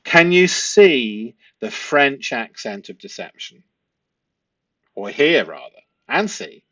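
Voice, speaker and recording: Male commentator, mid-pitched voice, analytical and stop-start delivery, close dry sound on slightly muffled audio. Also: very animated, slow pace, a bit bright, dry recording. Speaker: host, statement analysis commentator. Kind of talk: analytical commentary reading transcript excerpts aloud.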